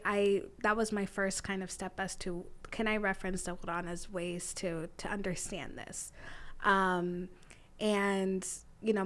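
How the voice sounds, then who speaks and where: A young woman whose first language is Arabic, a podcast guest telling her story in a thoughtful, measured way with many fillers. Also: medium pitch. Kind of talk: conversational interview.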